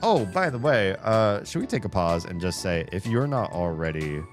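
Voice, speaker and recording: A man's voice: sits low, sing-song, moderate pace. A man from the Southern United States with a Southern accent, chatting casually on a livestream while gaming, rambling and wry. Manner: rambling, self-interrupting, wry. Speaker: streamer. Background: background music.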